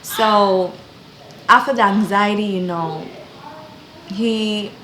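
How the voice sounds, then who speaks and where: A woman's voice: mid-range voice, takes it slowly, a bit bright, slight background noise. A young woman with a Ghanaian accent giving an earnest, encouraging personal faith testimony. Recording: echoey room with background music.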